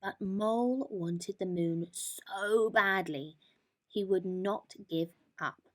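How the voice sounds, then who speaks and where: A woman narrating a children's bedtime story in an expressive, deliberate manner. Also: medium pitch.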